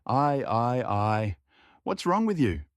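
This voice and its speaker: Man putting on a high, loud flirty village woman. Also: very animated, takes it slowly, no room sound. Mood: startled, teasing. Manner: loud, exclaiming. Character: flirtatious middle-aged village woman, a cook's assistant.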